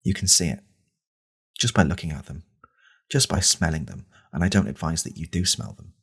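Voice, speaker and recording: A man playing an angry, pompous right-wing podcast host in a deadpan parody monologue, with a deliberate, conspiratorial manner. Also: heavily acted. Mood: earnest ranting. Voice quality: very deep, gravelly, husky.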